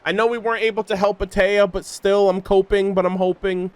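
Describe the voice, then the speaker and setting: Higher-voiced, slangy man streaming a game. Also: a little fast, clear, resonant tone, dry recording. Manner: slangy, expressive. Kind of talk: casual live gameplay reaction commentary. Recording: game music behind.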